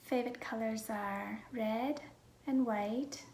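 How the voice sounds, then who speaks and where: Slow, soft-spoken young Vietnamese-accented woman. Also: on the high side, fairly even intonation. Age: young adult. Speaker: vlogger. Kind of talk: casual personal Q&A to viewers. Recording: roomy echo, faint background noise.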